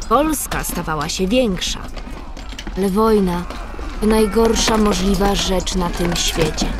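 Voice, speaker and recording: A woman narrator telling a scripted historical story in a radio drama. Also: mid-range voice.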